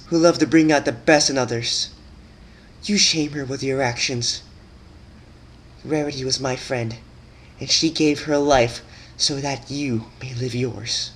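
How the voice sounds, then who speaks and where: Stern, wrathful pony princess for a fan-fiction drama, played by a male reader: raised pitch, regal, commanding, accusatory. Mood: bitter reproach.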